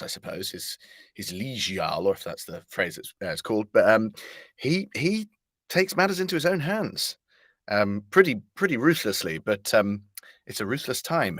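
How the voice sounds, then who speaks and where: Man, lower-pitched, casual chat, rambling, jovial, hesitant phrasing, very dry recording. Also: fairly bright tone, no room sound. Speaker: voice actor, interview guest. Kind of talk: casual interview storytelling.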